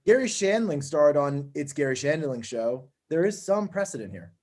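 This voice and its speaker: A man's voice: on the high side, animated, normal speed, darkish tone, close to an everyday voice. A man as a slick, swooping network boss. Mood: glib. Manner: glib, chummy. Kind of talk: comedy script table read.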